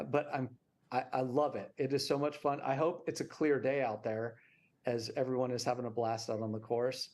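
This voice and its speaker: A man's voice: medium pitch, darkish tone. An American man speaking as a nonprofit representative, explaining the work in an interview.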